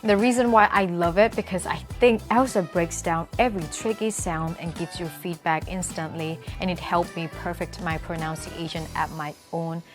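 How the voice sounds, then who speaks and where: A young woman from Thailand, whose first language is Thai, talks straight to her audience as a vlogger. Her voice sits a little low for a woman, and she stresses and repeats her key points over faint music. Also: fairly even intonation, normal speed, few pauses. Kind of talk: talking to camera, explanatory vlog with sponsor pitch.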